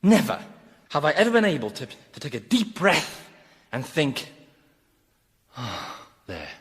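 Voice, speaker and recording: An unrepentant, selfish rogue in radio comedy. He is defensive and whiny, with a fairly high, sharp, lively voice. A hall reverb surrounds it. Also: a little slow, exaggerated voice. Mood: desperate. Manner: cheeky, defensive, excitable. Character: shifty, unrepentant, whining selfish man damned to Hell. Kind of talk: radio sitcom acting.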